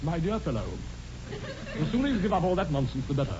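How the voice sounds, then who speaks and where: An actor playing an exasperated, earnest young British gentleman with clipped indignation in 1940s radio comedy style. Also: fairly high pitch, very animated, fast. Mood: indignant. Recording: muffled, noisy 1940s radio broadcast.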